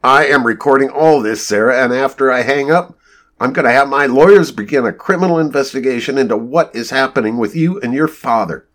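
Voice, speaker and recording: A man's voice: medium pitch, animated, normal speed, natural voice. A man voicing an indignant, hot-tempered male company boss in a story, confrontational and prone to swearing. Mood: indignant, threatening.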